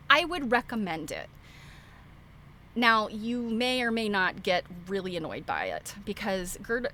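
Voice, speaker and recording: A woman's voice: mid-range voice, sharp tone, a little hiss or hum. A middle-aged woman hosting a livestream, chatting informally and giving opinionated, rambling film commentary. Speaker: livestream host. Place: live internet stream.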